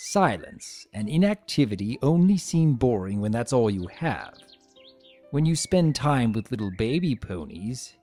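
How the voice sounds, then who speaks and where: A man voicing a vain, spiteful, sarcastic trickster creature trapped as a statue, telling its story with theatrical, sneering, drawn-out emphasis. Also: mid-range voice, warm tone. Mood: wry, bored. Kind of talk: dramatic first-person story reading. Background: music.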